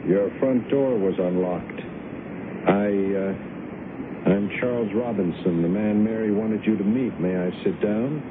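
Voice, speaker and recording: Male actor as menacing alien visitor posing as human, low voice, deliberate, accusing, radio drama, narrow muffled broadcast sound. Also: natural voice. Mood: polite, probing. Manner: deliberate, accusing, coldly insistent. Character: menacing time-traveler from another planet posing as human. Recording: muffled lo-fi old radio broadcast, rumbling hiss.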